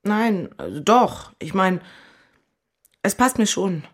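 A woman's voice: mid-range voice, sing-song, slow pace. An actress playing an emotional, lovestruck single woman in Berlin in a German radio play, anxious and exalted. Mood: surprised, flustered.

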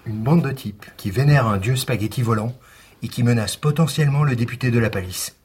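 A man's voice: mid-range voice, a little fast, a little mellow, husky, lightly acted. A man playing a stubborn, awkward police detective in a comic audio drama, earnest and self-dramatizing, sometimes tripping over his words. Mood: insistent.

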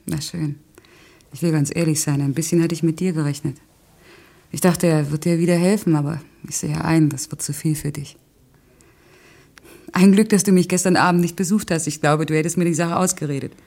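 A woman's voice: sits low, steady tone, brisk, creaky, a little mellow. An actress playing a stubborn, self-doubting working woman in a naturalistic radio play, frank and wry in manner. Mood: earnest, determined.

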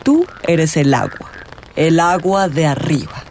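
A woman acting a mystical, teasing tarot reader in a radio drama, theatrical and suggestive, with dramatic pauses. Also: deep voice, darkish tone, slight background noise. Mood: mysterious, intent. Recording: music behind the voice. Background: music.

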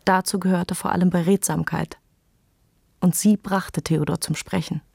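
A woman's voice: fairly low pitch, steady tone, normal speed, gravelly, darkish tone, breathy edge, no echo, studio quality. A woman narrating a literary radio play from a script.

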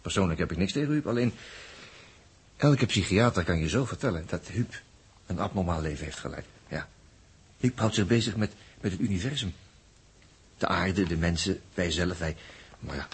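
Low-voiced, muffled Dutch spaceman, insinuating and flirty, halting 1960s radio-play delivery. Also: expressive, slightly rough, exaggerated voice. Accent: Netherlands Dutch. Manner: halting, repeats words for emphasis. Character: sly, insinuating male space crewman, flirty and needling.

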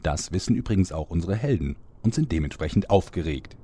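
A man's voice: low voice, lively intonation, fairly quick, mellow, husky. A man playing the ceremonious narrator of a comic audio drama, addressing listeners in scripted storytelling. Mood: mock-solemn. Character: deep-voiced, ceremonious storyteller greeting fans.

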